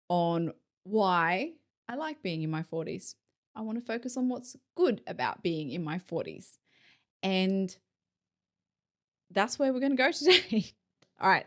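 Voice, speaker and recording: A woman in her forties hosting a casual solo podcast, chatty and self-deprecating, laughing often between her thoughts. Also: lowish voice. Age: 40s. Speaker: podcast host.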